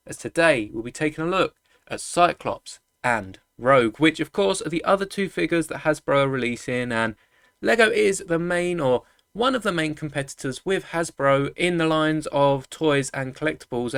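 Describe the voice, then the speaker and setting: A man's voice: a little high, fairly bright tone, dry recording, studio quality. A man hosting a hobby showcase video, chatting to viewers in rambling explanations. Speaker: YouTube host of a toy-building channel. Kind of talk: enthusiast video presentation, product showcase.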